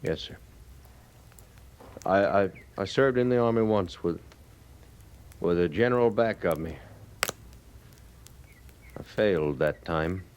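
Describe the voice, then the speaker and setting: A man acting a humbled, earnest cavalry sergeant in a 1950s radio western, halting, formal and deferential. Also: sits low, darkish tone. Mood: humble, halting. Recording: noisy vintage radio recording.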